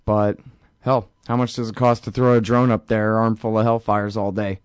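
A man's voice: on the low side, flat delivery, normal speed, clear, resonant tone, no echo, studio quality. A man hosting a political talk show, ranting sarcastically with lots of energy. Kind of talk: political radio commentary.

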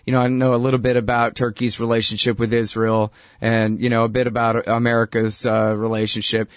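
A male talk-show host keeps things loose and friendly, slipping in little apologies as he goes. His voice sits at a middle pitch. It is recorded close and dry, with no room sound. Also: level tone, a little fast, dry recording. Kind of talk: casual interview chat.

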